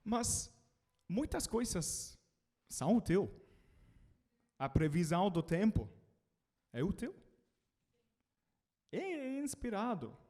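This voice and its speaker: British man preaching in learner Portuguese. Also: on the high side. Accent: British English. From Britain. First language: English. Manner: animated, calls out to the congregation.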